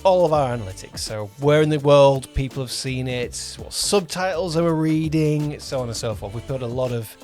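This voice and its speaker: A man's voice: mid-range voice, animated, moderate pace, hardly any pauses, a little mellow, faint music behind. A man co-hosting a podcast as a producer and director, chatting casually in a loose, hesitant, conversational way. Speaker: podcast host, audio drama producer and director. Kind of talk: casual two-person podcast chat.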